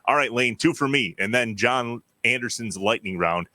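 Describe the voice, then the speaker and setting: A man's voice: mid-range voice, moderate pace, pushed and bright, dry recording. An American man hosting a sports podcast, asking questions in a conversational interviewing style.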